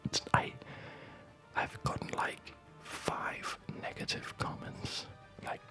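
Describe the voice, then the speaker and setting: A man whispering breathily in a gentle, unhurried, rambling way, as host of an ASMR gaming video, close to the microphone. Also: soft background music. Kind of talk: whispered ASMR chat over gameplay.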